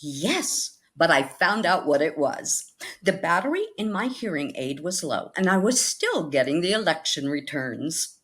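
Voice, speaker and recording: A woman's voice: sits low, very animated, measured pace, fairly bright tone, exaggerated voice. Woman playing a swoopy, morbid, ailing spinster. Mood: doleful, rambling. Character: cheerfully morbid, ailing, scatterbrained spinster lady. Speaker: actress in a radio comedy recreation. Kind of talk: 1940s radio comedy acting.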